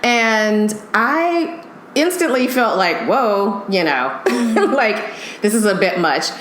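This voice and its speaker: A woman's voice: medium pitch, slow pace. A woman in her fifties, a TV makeup artist, telling stories as a guest in a casual podcast chat, with frequent laughter. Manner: animated storyteller, laughs often. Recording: echoey room with faint noise.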